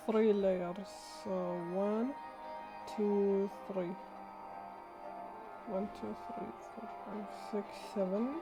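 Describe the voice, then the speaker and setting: A woman's voice: mid-range voice, steady tone, drawn-out words, long pauses. A woman livestreaming a game with casual, musing commentary, often echoing phrases back. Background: game music.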